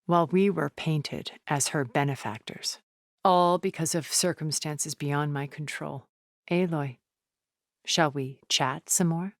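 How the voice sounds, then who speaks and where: An actress playing a poised, cultured, wealthy woman in a video game, speaking in a measured, formal, refined way. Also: low-pitched, no room sound, clean studio sound. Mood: composed. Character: poised, cultured, wealthy woman, a thousand years old, sounding middle-aged. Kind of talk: video game character dialogue.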